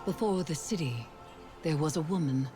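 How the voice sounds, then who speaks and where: A woman playing a calm, tender keeper of secrets, narrating a game cutscene in a hushed, poetic way. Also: low voice, music in the background, close to an everyday voice. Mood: solemn, wistful. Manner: hushed, poetic, deliberate storytelling.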